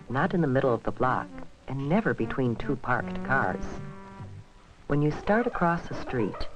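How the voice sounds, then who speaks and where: An American man reading scripted, instructive narration for a 1970s safety film, with measured phrasing addressed to young people. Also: mid-range voice. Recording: dull vintage 1970s film soundtrack.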